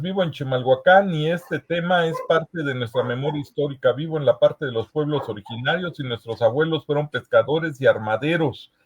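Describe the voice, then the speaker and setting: Slow, hesitant Mexican man. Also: a little high, a little hiss or hum. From Veracruz, Mexico. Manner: hesitant, searching for words with frequent pauses. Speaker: host of a history conference session. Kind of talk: casual moderating, reading audience comments aloud.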